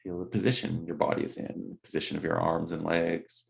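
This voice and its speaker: Low, gravelly man guiding meditation. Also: flat delivery, fairly quick. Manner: gentle, unscripted, hesitant phrasing. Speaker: meditation guide. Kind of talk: guided body scan meditation.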